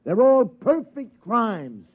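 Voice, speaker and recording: An actor playing an exasperated police sergeant who talks brusquely and mocks, in a 1950s radio drama. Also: male voice, extremely high pitch, big pitch swings, takes it slowly, phone-quality sound, exaggerated voice. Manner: brusque, mocking.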